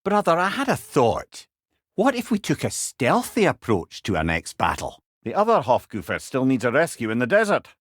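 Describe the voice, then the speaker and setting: A wise old Scottish man serves as a video game companion and storyteller. His delivery is eloquent and wry, with a lilting, very animated pitch. The recording is close and dry, with no room sound. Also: normal speed, no echo. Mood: earnest, informative. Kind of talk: video game character dialogue.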